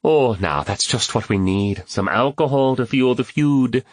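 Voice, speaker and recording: Man voicing an arch, witty woman, pitched up. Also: unhurried, dry recording, close to an everyday voice. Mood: mock protest. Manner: arch, quipping. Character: witty woman, playfully sparring, teasing dinner guest.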